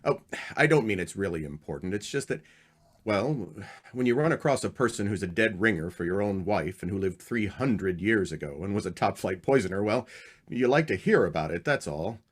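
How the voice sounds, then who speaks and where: Radio mystery husband, male actor: earnest, probing, slightly hesitant, highly varied intonation. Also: animated, normal speed. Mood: probing, uneasy. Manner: questioning, hesitant. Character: earnest, uneasy husband, a book editor. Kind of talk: old-time radio mystery acting.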